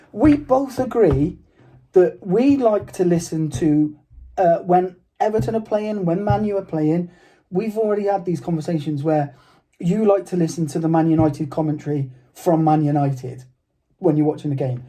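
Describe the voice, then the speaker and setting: A man co-hosting a casual football chat, chatty and playful, with a voice he calls high-pitched. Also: moderate pace, warm tone. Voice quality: says he has a high-pitched voice. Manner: chatty, playful, argues back easily. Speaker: podcast co-host.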